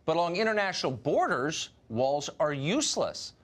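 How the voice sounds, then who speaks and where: Sardonic male news host, lively pitch. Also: moderate pace. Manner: mocking, sardonic delivery. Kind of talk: sarcastic scripted monologue. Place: television news studio.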